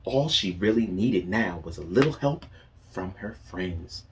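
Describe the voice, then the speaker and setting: A man's voice: low voice, expressive, a little slow, a bit bright, slight background noise. A man reading a picture book aloud to young children as a teacher, asking them questions and praising their answers.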